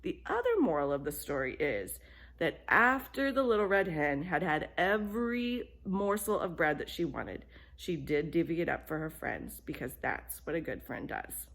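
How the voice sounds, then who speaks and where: Low-pitched woman telling a bedtime story. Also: big pitch swings, normal speed, fairly bright tone. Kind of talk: expressive children's storytelling.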